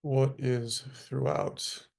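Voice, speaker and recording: A man guides a meditation in a very slow, even voice with a gravelly creak. He speaks in short, hushed phrases and leaves long pauses between them, unhurried throughout. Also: mid-range voice. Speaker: meditation teacher leading a group.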